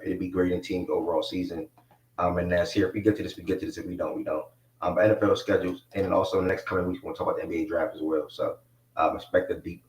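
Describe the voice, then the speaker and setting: A man's voice: low voice, a little mellow. A man in his twenties hosting a live sports talk show in a loose, rambling way with plenty of fillers. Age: 20s. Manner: rambling, laid-back, many fillers. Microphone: mic not connected, picked up from a distance. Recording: echoey room, voice far from mic.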